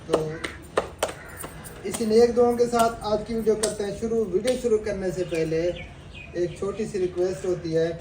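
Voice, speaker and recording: A man's voice: sits high, fairly even intonation, moderate pace. A man from Pakistan, chatting to viewers on a family village vlog. He has a sore throat and talks in a chatty way, praying and speaking to the audience directly. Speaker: family vlogger. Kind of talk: casual family vlog chat. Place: rural village home outdoors. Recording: distant mic with clear background noise.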